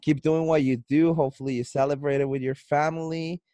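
A man with a Colombian Spanish accent hosts a relaxed podcast chat. He rambles and restarts, sounding loose and friendly. His voice is dry and close. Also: a little high, brisk, dry recording. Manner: loose and chatty, with frequent restarts and laughs. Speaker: podcast host.

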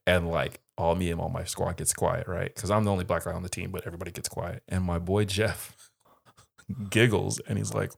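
A man's voice: low-pitched, level tone, brisk, vocal fry. A man co-hosting a podcast, telling a personal story casually in a laid-back way, with a lot of fillers. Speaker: podcast co-host.

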